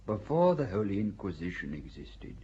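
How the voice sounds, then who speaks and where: A man voices a stern old inquisitor in an audio drama, delivering a slow, solemn, preacher-like warning in a low voice. The recording is dull and lo-fi, with a faint hiss underneath. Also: very animated, mellow. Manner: solemn, measured, sermon-like oratory. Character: stern elderly male inquisitor, grave and persuasive.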